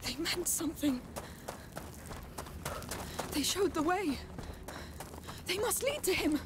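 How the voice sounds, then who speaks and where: A woman's voice: extremely high pitch, expressive, slow pace, background noise, heavily acted. An actress playing a frightened but determined young woman in a video game, breathless and anguished as she searches for her brother. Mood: dazed, muttering. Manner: breathless, anguished, muttering to herself and calling out. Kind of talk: dramatic video game acting.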